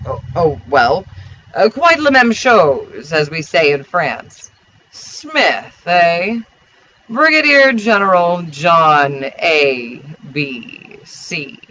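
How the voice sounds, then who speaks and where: Man doing an affected, drawling gentleman gossip. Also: sits high, big pitch swings, slight background noise, exaggerated voice, low-quality recording. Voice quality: drawling, drawn-out syllables. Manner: affected, hesitant, rambling.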